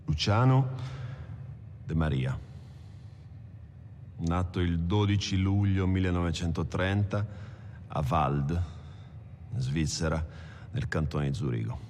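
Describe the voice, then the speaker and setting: A man's voice: sits low, takes it slowly, long pauses, a little mellow. An actor playing a terse gangster who states his details in clipped phrases for a film, in a reverberant space. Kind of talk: dramatic monologue in a docudrama film. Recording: long hall reverb, faint noise.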